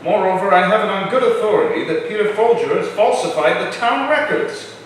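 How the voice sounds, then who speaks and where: A man's voice: high voice, lively intonation, a little fast, slight background noise. An actor playing a scheming, accusatory colonial councilman in a stage drama, with pompous, oratorical delivery. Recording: very echoey hall, distant mic.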